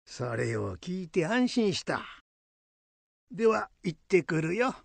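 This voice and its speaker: Guy doing a kindly old japanese temple priest, creaky high-ish voice, swings up and down, radio play. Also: big pitch swings, dry recording, heavily acted. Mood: gentle, fond. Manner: slow, old-fashioned elderly speech. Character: kindly elderly male temple priest, gentle and musing. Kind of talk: folk tale radio drama acting.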